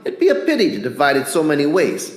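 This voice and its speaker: A man's voice: on the high side, huge pitch swings, fast talker, breathy edge, heavily acted. A man playing a smug, menacing crime boss in an old-time radio drama, mock-polite and taunting. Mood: gloating.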